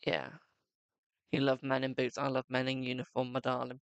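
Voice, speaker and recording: Guy doing tarot on a live stream, keeps saying my darling, gravelly fry in his voice. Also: mid-range voice, monotone, moderate pace, no echo. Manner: chatty, calls viewers "my darling". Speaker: psychic tarot reader. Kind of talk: live-stream card reading, chatting to viewers.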